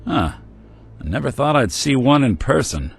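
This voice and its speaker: An actor playing a world-weary male synth detective in a game, talking with a gruff, laconic noir drawl. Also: medium pitch, very animated, normal speed, soft background music, full character voice. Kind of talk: game companion dialogue.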